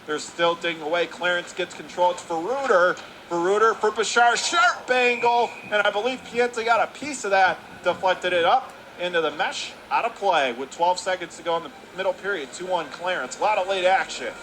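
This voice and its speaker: A man calls live hockey play-by-play on the radio, quick and tight, and he surges into near shouting on goals. His voice sits high for a man. Clear arena noise runs under the call. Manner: rapid excited calling that rises sharply on goals. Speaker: play-by-play announcer. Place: arena broadcast position. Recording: noisy arena broadcast.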